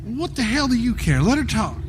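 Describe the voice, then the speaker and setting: A high-voiced, stammering, anxious nice guy. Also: very animated, a little fast. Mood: exasperated. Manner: stammering, hesitant, folksy. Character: anxious, kindly man, bewildered and easily exasperated. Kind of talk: live stage audio drama acting. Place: theater stage. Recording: live theater stage, faint noise.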